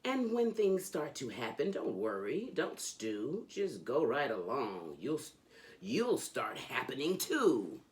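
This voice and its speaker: Very deep-voiced woman reading a storybook expressively. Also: slow. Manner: animated, sing-song storytelling. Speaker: host of a children's read-along show. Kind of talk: expressive storybook read-aloud.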